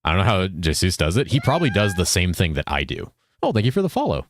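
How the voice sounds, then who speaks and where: A young man streaming a video game, chatting casually with his viewers in a relaxed, rambling way, with a deep voice he holds slightly higher than its natural pitch. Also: fast. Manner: laid-back, rambling, swears a lot, reads chat aloud. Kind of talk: casual live-stream chat while gaming.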